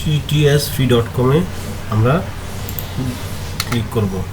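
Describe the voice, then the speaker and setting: A man presenting a screen-recorded software tutorial, talking in a halting way as he thinks aloud through each step. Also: medium pitch. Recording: noticeable background noise.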